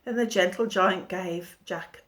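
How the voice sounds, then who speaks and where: Woman teacher narrator, unhurried read-aloud to children, lively storytelling. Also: on the low side. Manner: slow, expressive storytelling.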